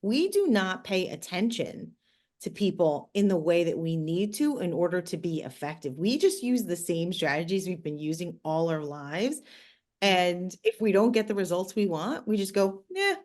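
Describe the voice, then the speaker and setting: A woman's voice: lowish voice, a little mellow. A woman with a New Jersey accent giving conversational advice as an interview guest, explaining things emphatically and directly. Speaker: podcast guest, communications expert.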